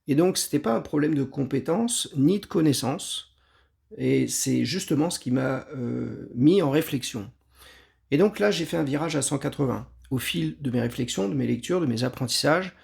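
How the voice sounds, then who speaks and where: A man, giving a measured, earnest talk as an exam prep coach. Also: medium pitch, gravelly, darkish tone. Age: 50s. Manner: measured, earnest, with occasional restarts. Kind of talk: scripted talk to camera.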